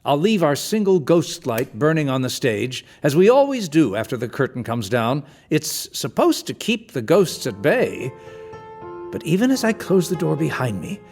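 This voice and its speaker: A man acting as the genial, wistful narrator of a storybook radio play, with theatrical, measured phrasing. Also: on the high side, a little mellow. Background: music.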